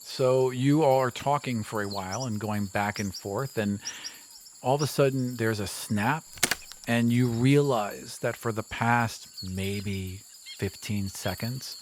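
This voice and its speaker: A man running a tabletop game as game master, narrating in a brisk, matter-of-fact way. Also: medium pitch, noisy background.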